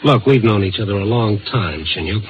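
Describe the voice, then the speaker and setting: An actor in a 1950s radio drama playing a hard-boiled newspaper reporter, wry, clipped and nosy. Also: male voice, lowish voice, expressive, brisk, dull audio, slight background noise, natural voice. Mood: probing.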